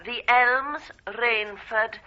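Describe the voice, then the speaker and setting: An actress playing a female records clerk, deliberately reading out details in a 1980s radio drama. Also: a little high. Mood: businesslike. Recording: dull, muffled radio broadcast recording.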